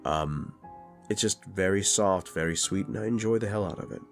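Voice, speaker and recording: A man's voice: sits low, moderate pace, faint music behind. A man hosting his audio channel, speaking casually and earnestly to listeners with slight sinus congestion. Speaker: voice actor and channel host. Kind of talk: casual address to listeners, thanking supporters.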